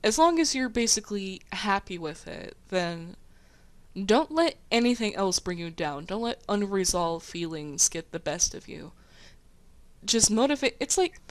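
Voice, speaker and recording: A woman's voice: medium pitch, no room sound. A woman chatting casually, with an encouraging, slangy, informal way of talking. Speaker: host of a casual group chat. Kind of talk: casual chat.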